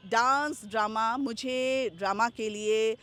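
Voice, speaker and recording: A middle-aged woman from Bihar, India, a stage anchor and folk dancer, answering interview questions in a measured, articulate announcer's manner. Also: a little high, fairly bright tone, faint music behind.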